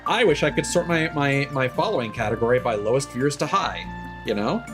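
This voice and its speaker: Male game streamer, medium pitch, rambling sardonic opinions, casual delivery over clear background music. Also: animated, a little fast. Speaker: game livestreamer.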